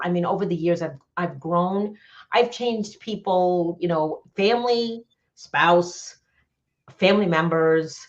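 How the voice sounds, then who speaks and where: A woman with a New York accent, a podcast guest talking casually and candidly about her own life, often repeating herself for emphasis. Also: sits low. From New York area, United States. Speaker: podcast guest, business coach. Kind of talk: casual personal storytelling. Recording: dull, muffled lo-fi audio.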